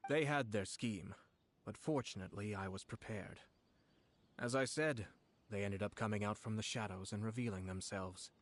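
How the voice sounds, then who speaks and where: An actor playing a composed, courteous and shrewd young nobleman in scripted game dialogue, with a formal, measured, politely pointed delivery. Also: on the low side, close to an everyday voice. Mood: calm, calculating.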